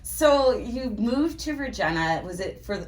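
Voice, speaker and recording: Animated woman host, heard from a distance. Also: a little high, unhurried. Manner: chatty and animated, laughs easily. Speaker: show host. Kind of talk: casual interview chat.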